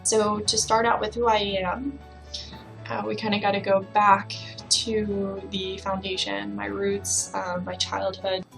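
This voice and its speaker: A woman's voice: mid-range voice, flat delivery, a little slow, creaky, fairly bright tone, faint music behind, rough recording. A young woman from the Bahamas, a fitness trainer, telling her personal story to the camera in a casual, reflective way with many fillers.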